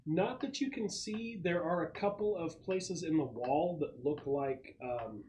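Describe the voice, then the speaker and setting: A man running a tabletop game as game master, describing scenes off the cuff and pausing as he searches for words. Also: fairly high pitch, a little mellow, a little hiss or hum. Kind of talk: improvised tabletop game narration.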